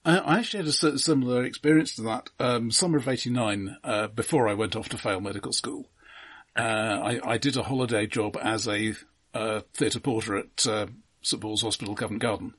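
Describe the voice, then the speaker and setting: A middle-aged British man telling anecdotes casually as a podcast co-host, in a halting, precise way. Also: on the low side, fairly bright tone, breathy edge. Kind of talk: casual chat, personal anecdotes.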